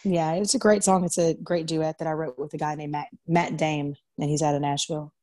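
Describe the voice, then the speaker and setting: A woman from Arkansas, a country singer, talks loosely with plenty of fillers and restarts, her voice a little raspy after two nights of singing. Her pitch sits on the low side for a woman. The sound is close and dry, with no room around it. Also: level tone, fairly quick, mellow, no room sound. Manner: chatty, many fillers and restarts. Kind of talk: casual interview over video call. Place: in bed at home.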